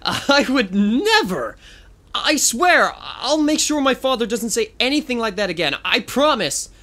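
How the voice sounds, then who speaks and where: A man's voice: very high-pitched, big pitch swings, measured pace, bright, forceful tone, heavily acted. A man playing a young, good-natured pony guard captain in an audio drama, boyish and prone to stammering. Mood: panicked denial. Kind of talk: fan audio drama acting.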